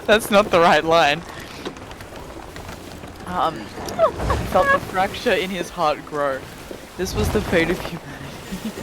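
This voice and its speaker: Male amateur reader, slightly high pitch, dramatic narration mixed with sweary chatty asides, noisy voice-chat audio. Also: measured pace, long pauses. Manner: sweary, breaks off mid-sentence to chat. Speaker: fan fiction reader on a live stream. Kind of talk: dramatic reading with casual asides to friends. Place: live-streamed group voice chat. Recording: noisy voice chat, steady background noise.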